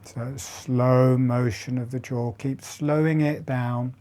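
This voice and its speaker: A man leading a guided breathing and jaw relaxation meditation in slow, soft, broken phrases with long pauses. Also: mid-range voice, steady tone. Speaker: meditation teacher.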